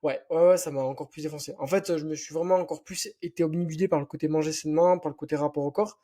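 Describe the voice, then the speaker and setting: A young man with a light southwestern French accent talks loosely as a podcast guest, stringing thoughts together with plenty of fillers. His voice sits a bit high, with a soft, mellow, warm tone. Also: steady tone, quick pace. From southwest France. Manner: rambling, many fillers. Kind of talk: casual podcast chat.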